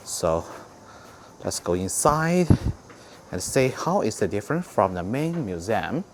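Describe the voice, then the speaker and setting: A man from China with a Chinese accent narrates an informal museum tour vlog in halting, simple non-native English. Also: on the low side, big pitch swings. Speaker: vlogger giving a museum tour. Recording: clear background noise, on-location ambience.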